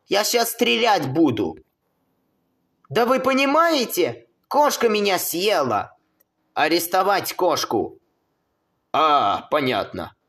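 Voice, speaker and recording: Male, high voice, slow and widely swinging delivery, amateur cartoon dubbing with theatrical exclamations. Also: fairly bright tone.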